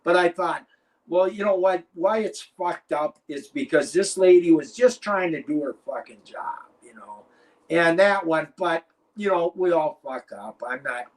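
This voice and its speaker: A man's voice: a little high, a little slow, low-quality recording. An older man and former wrestler, telling rambling, profane stories as an interview guest. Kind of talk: casual interview chat.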